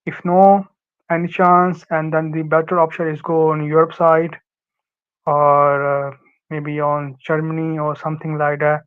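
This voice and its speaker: A young Pakistani man, Urdu his first language, talks through his experience with many fillers and false starts. His voice sits a little high and stays flat, yet comes through smooth and clear. The sound is dull and lo-fi, like an online call. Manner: halting, many fillers and restarts. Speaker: guest, former student. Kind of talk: casual interview advice.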